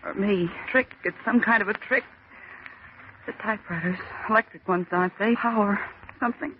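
A frightened, bossy woman played by a radio actress fights to stay calm, snapping and repeating herself urgently. The sound is an old thriller broadcast, thin and phone-like, with clear noise. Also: medium pitch, moderate pace, close to an everyday voice. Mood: tense, defiant. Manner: urgent, insistent, repeating phrases.

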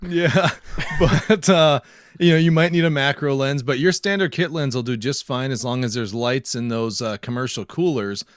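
A man talks casually as a podcast co-host in a close, dry recording. His voice sits at a medium pitch, and he jokes along, often laughing through his sentences. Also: moderate pace, no echo. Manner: jokey, laughs often while talking.

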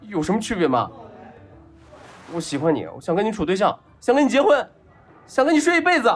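A pampered young rich guy hopelessly in love in an audio drama. He is eager and whiny, pleading with childish earnestness. His pitch leaps around freely, over a music bed. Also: big pitch swings, brisk. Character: lovestruck spoiled young rich man, clingy and naive. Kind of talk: Chinese audio drama acting.

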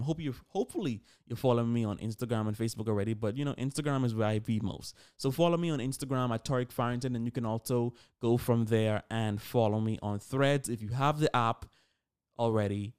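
A man's voice: medium pitch, a little mellow, clean tone. An eighteen-year-old man hosting a solo podcast, talking casually and informally, often correcting himself as he goes. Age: 18 years old. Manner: informal, conversational, self-correcting. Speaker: podcast host.

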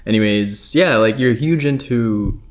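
A young adult podcast host chatting casually with a guest, relaxed and full of "like" and quick agreements. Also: mid-range voice. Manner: relaxed, lots of "like" and backchannels. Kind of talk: casual interview chat.

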